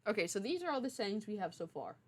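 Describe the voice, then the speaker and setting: A woman's voice: medium pitch, very animated, quick pace. A young adult woman streamer chatting casually with her viewers in a playful, teasing, mock-stern way. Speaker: streamer, game writer. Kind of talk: casual livestream chat.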